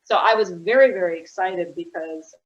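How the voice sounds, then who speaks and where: An enthusiastic woman talking on a casual panel, her voice low and a bit muffled. She pauses and hunts for the right words as she goes. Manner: enthusiastic fan, searching for words. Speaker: panel guest.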